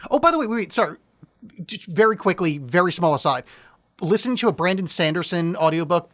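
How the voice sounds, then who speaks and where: A man's voice: high-pitched, lively intonation, fairly quick, a bit bright. A man from the Philadelphia area with a nasal voice, co-hosting a podcast and mocking a bad movie with sarcastic, profane remarks. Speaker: podcast co-host. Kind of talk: casual chat reviewing a movie.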